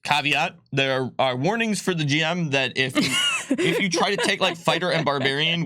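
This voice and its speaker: A man walks through tabletop rules in a loose, rambling way, peppering his talk with likes and ums. His voice is bright and forward, captured dry and close. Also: mid-range voice, no echo. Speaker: game designer presenting rules. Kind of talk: casual livestream rules explainer.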